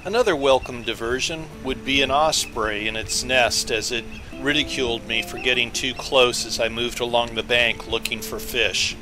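A man's voice: medium pitch, fairly even intonation, normal speed, hardly any pauses, music in the background. A man giving scripted voice-over narration as an angler recounting his fishing trip.

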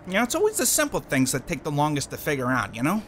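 A man's voice: on the high side, huge pitch swings, quick pace, slight background noise. A man in an audio drama playing a cocky, eccentric starship engineer, chatty, slangy and rambling. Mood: excited, breezy.

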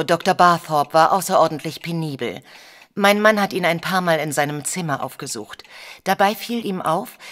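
Eine Schauspielerin spricht eine vornehme, diskrete, besorgte viktorianische Ehefrau, die als Klientin in einem deutschen Hörspiel förmlich und gemessen berichtet. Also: female voice, fairly low pitch, fairly bright tone. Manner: formal, measured storytelling. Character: proper, discreet Victorian married lady, troubled client. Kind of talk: German audio drama acting.

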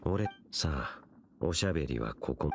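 Very deep, solemn knight. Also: male voice, animated, over music. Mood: grave, measured. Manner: solemn, measured, cryptic. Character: grave, brooding male wandering knight in his prime. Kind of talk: Japanese video game voice acting.

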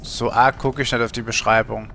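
A man's voice: medium pitch, level tone, a little fast, gravelly, pushed and bright, faint music behind. A middle-aged man chatting casually and musingly on a gaming livestream from home, in colloquial speech. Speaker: game livestreamer. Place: indoor home streaming setup.

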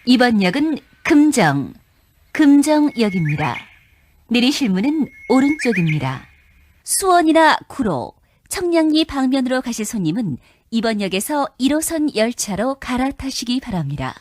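A woman announcer reading scripted subway station announcements in a formal, clearly enunciated manner. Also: fairly high pitch, big pitch swings, moderate pace, a little mellow, slight background noise. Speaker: train announcer.

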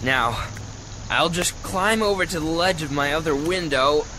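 Earnest young boy reporter guy acting, high pitched, polite, radio play, noisy clicky recording. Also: measured pace, close to an everyday voice. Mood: determined. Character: plucky, polite young male reporter hero. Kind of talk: audio drama acting. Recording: clear background noise, clicks.

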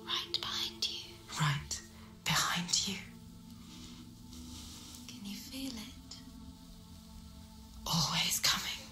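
A woman playing an insidious voice in a warrior's head in a video game, goading in a breathy whisper. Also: mid-range voice, sing-song, extremely slow, long pauses. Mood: cruel, taunting. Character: taunting female voice inside a tormented warrior's head. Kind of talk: dramatic video game acting. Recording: echoing whispers over music.

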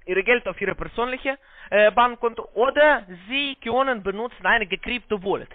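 A man with a foreign accent giving a halting, repetitive, polite phone sales pitch in broken, non-native German. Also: no echo. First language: not German. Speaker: call center investment salesman on a scam call. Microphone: phone call. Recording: narrow-band phone line sound.

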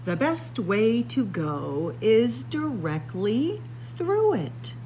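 A woman hosting a story time, reading a picture book to young children in a sing-song way with drawn-out phrases and dramatic pauses. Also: fairly high pitch, sing-song, warm tone, slight background noise. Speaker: library story time host. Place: indoors among library bookshelves.

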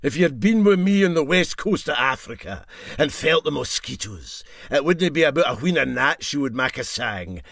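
A boastful Scottish ship's engineer in a storybook, as a male reader plays him. He is dismissive and scornful, with animated intonation in a dry recording. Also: fairly high pitch, moderate pace, no echo. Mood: contemptuous. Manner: contemptuous, dismissive.

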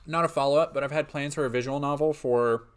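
A man's voice: fairly high pitch, steady tone, fast talker, smooth. Rambling, sleepy young man streaming. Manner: rambling, trails off with frequent ums and restarts. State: a little sniffly and sleepy. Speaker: streamer and indie game developer. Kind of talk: casual stream Q&A, reading chat.

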